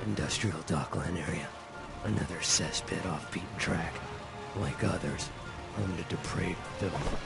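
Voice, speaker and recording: An actor playing a grim, brutal masked vigilante in a video game, growling terse, clipped lines in a gravelly deadpan. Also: male voice, low voice, unhurried. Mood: grim contempt. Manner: terse, clipped, deadpan, dropping small words. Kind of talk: video game cutscene acting. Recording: loud music behind the voice.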